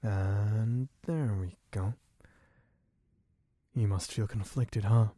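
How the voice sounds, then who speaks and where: A man voicing a suave, possessive, bloodthirsty vampire in a low, husky, teasing voice for an ASMR roleplay. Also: fairly even intonation, mellow, dry recording, clean studio sound. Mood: smug, mock-tender. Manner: slow, teasing, theatrically genteel, purring endearments.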